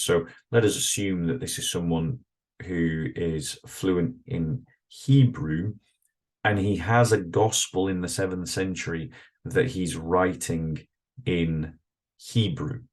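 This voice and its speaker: Deep-voiced man reading and commenting. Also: a little mellow. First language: English. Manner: thoughtful, hesitant, many fillers and restarts. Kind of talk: reflective solo commentary with read-aloud passages.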